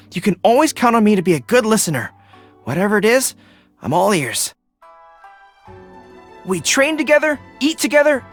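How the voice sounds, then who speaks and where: A man voicing an earnest, dutiful young dog-eared general in a video game, with crisp military diction. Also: sits high, a bit bright, heavily acted. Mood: friendly. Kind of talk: video game character voice acting.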